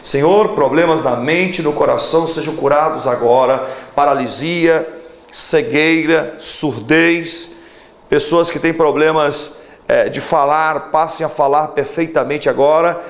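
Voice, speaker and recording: A man in his forties preaches on television in a fervent, emphatic way and leads a prayer for the viewers at home. His voice sits a little high for a man. He stretches out key words for weight. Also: measured pace. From Brazil. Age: 40s. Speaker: pastor.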